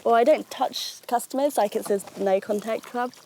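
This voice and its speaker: A woman's voice: fairly high pitch, moderate pace, echoey room, a little hiss or hum. A young woman in casual chat as a dating participant. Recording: loud music behind speech.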